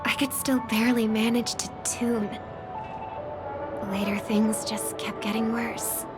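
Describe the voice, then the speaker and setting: An actress playing a gentle young singer and devoted younger sister in a game cutscene, speaking in a soft, hushed way. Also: female voice, on the high side, level tone, close to an everyday voice. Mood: hushed worry. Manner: soft-spoken, slow and hushed. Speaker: game character. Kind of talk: voiced game cutscene dialogue. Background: game music.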